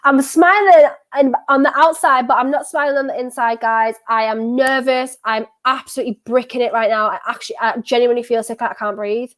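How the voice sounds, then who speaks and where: Woman streamer, softened Durham accent, higher voice, nervy and rambling, live match reaction. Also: brisk, hardly any pauses. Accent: County Durham, softened. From North East England. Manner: anxious, excitable, rambling and self-repeating. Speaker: football watchalong streamer.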